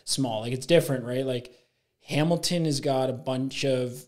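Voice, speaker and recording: A man's voice: mid-range voice, normal speed, a little mellow. A man in his thirties from Ontario, a podcast guest who talks casually in long, rambling runs, full of fillers and self-corrections. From Ontario, Canada. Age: 30s. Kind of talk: casual chat.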